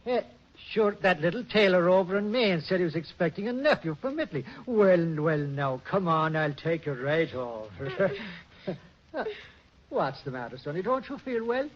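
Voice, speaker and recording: A kindly, folksy station master chats jovially and chuckles, his voice high and swinging. The sound is muffled with a faint hiss, like an old radio recording. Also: sing-song, normal speed. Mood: friendly. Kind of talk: 1940s radio acting.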